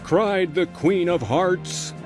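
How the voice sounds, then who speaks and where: A man's voice: sits high, takes it slowly. A man narrating a scripted children's storybook tale as its storyteller. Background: faint music.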